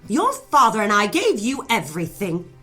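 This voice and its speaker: A woman's voice: medium pitch, huge pitch swings, normal speed, fairly bright tone, faint music behind. An actress playing a cruel, haughty middle-aged mother in a noir audio drama, scolding and condescending. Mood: furious, disdainful. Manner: imperious, scolding, condescending endearments. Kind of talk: noir radio drama acting.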